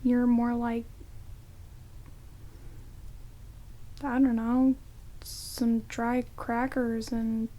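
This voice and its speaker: A woman playing a rude, sarcastic female vampire in a whispered ASMR roleplay, soft and casual, speaking into close binaural mics. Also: a little high, flat delivery, slow pace, rough recording. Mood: dry teasing. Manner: soft-spoken whispering, dry, snarky, casual. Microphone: close binaural microphones. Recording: hissy rain noise on binaural mics.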